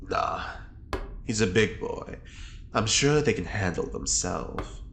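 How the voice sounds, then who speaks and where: Male prisoner for audio drama: arch, unbothered schemer, playful, animated low voice, faint background ambience. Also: slow, creaky, a little hiss or hum, exaggerated voice. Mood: teasing. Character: wry, teasing prisoner schemer, theatrically unbothered.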